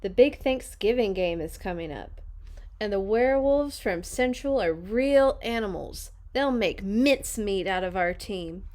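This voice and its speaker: A woman's voice: mid-range voice, expressive, unhurried, a little mellow, close to an everyday voice. A woman voicing a worried, folksy old male school janitor from a storybook, speaking with gloomy emphasis. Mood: gloomy warning.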